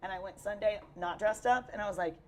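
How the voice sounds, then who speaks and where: A woman's voice: medium pitch, fast talker, darkish tone, a little hiss or hum. A young woman with a Texan accent, chatting casually as an interview guest while losing her voice, upbeat and full of fillers. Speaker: cosplayer guest. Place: busy convention hall.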